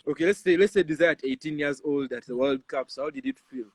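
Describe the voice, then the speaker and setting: A man's voice: a little high, quick pace, no echo. A man with a Ugandan accent, interviewing in a casual, conversational way with informal tag questions. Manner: informal, often tags sentences with "yeah".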